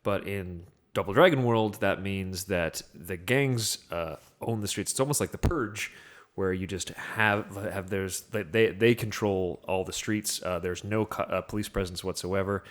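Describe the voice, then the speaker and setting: A man's voice: sits low, unhurried. A man in a casual film discussion, rambling and thinking aloud as a podcast panelist.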